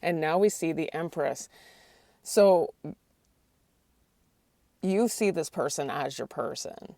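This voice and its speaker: Low, gravelly woman reading tarot. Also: takes it slowly, dark tone, no echo. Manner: hesitant, restarts often, asks the cards aloud. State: losing her voice. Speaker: tarot reader.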